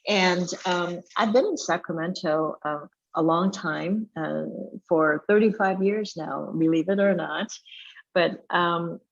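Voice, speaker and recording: A middle-aged woman from Vietnam, a chef and restaurant owner, talking casually in an interview with many pauses and fillers. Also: fairly low pitch, creaky, muffled recording.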